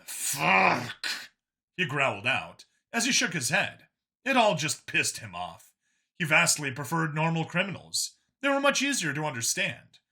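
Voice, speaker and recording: A man's voice: a little high, pushed and bright. A man reading a science fiction story aloud as a dramatic scripted narration. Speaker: narrator, audiobook reader.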